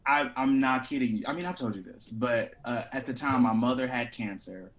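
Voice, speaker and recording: A man speaking as an actor being interviewed, candid, reflective and expressive in casual conversation. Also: mid-range voice, fairly quick.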